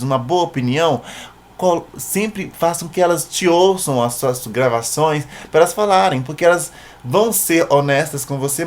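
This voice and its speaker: A man with a Brazilian Portuguese accent narrating an informal singing tutorial in a conversational way, often checking in with short tag questions. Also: on the high side.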